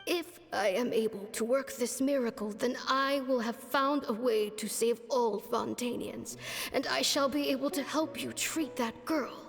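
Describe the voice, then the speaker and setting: A grave woman mentor from a video game, speaks slowly in a fairly high voice. She is solemn, resolute and theatrical, ringing in a long reverb. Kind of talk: video game dialogue acting. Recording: long reverb over music.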